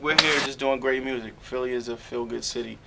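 A man's voice: medium pitch, fairly even intonation, fairly quick, vocal fry, noisy background. A man in a recording studio, talking in a laid-back, casual way. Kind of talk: casual talk to camera.

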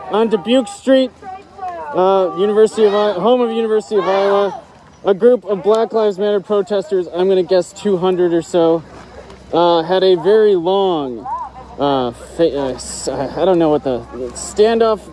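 Male streamer on the street at night, halting ad-lib commentary, phone mic, loud crowd around him. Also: sits high. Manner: hesitant, many ums and restarts. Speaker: independent livestream reporter. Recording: outdoor phone audio with crowd.

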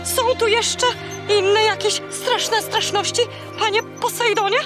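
Squeaky, panicky seagull. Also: female voice, steady tone, takes it slowly, over music. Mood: frightened, fussy. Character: jumpy feathered seagull, fussy and easily frightened. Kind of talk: children's audio drama acting.

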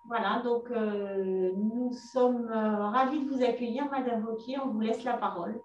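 A woman hosting an online event, giving a formal welcome from a meeting room through a far camera, speaking haltingly with many fillers. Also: a little high, fairly even intonation, darkish tone, muffled recording. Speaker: event host. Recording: echoey room, distant mic, faint hum.

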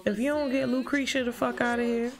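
Lively woman streamer joking over music. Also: fairly high pitch, quick pace. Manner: lively, joking, talks back to chat, profane slang. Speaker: livestreamer. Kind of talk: casual game livestream chat. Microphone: headset mic at desk. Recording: game music playing behind.

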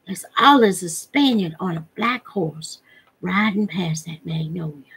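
An excitable backwoods boy, voiced by a woman reading a storybook, insists on his tall tales. The voice is husky, with folksy rural dialect. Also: lowish voice, a little slow, full character voice, poor audio. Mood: insistent.

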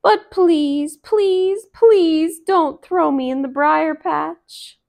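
A woman voicing a brash, tricky, hot-tempered male rabbit from a storybook, with loud, theatrical bluster. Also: very high-pitched, very slow, vocal fry, voice pushed up, heavily acted. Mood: mock pleading.

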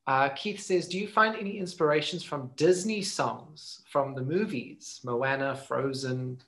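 A young man hosts an online interview. His voice is mid-pitched and steady as he passes along audience questions. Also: a little slow. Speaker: host. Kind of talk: hosting a video call interview.

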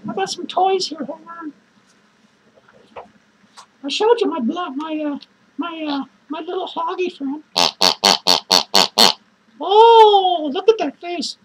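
A woman playing an unhinged, drunk male clown who rambles crudely, cackles manically and lurches between shouts and mutters. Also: extremely high pitch, slow pace, pauses often, a little hiss or hum, poor audio. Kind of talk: improvised character monologue to viewers.

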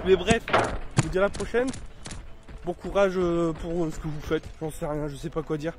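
Casual gamer, man, thirties, stuffy with a cold, chatty and halting, loud gunfire in the mix. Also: on the high side, steady tone, background noise. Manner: rambling, many fillers and restarts. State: has a cold. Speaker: gaming YouTuber. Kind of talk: casual chat over gameplay. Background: game gunfire and effects.

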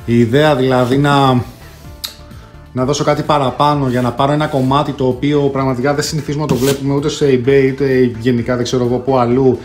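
A man's voice: mid-range voice, little pitch movement. A man, presenting casually as a video host, chatty and slangy with bits of English collector jargon. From Greece. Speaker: host of a retro console collecting channel. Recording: echoey room, distant mic, music behind.

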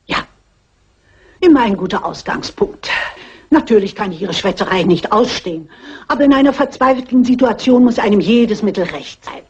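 An actress playing a stubborn, shrewd elderly lady sleuth in a dubbed crime film, husky and briskly dignified. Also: mid-range voice, lively intonation, a little mellow. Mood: brisk, determined. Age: older. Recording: dull old film soundtrack.

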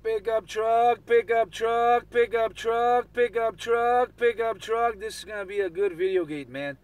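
A man with a high voice banters over CB radio. He sounds distant from the microphone. His talk is slangy and repetitive, looping catchphrases. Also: unhurried, smooth, no echo. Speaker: CB radio operator. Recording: distant CB radio transmission, outdoor vehicle sound.

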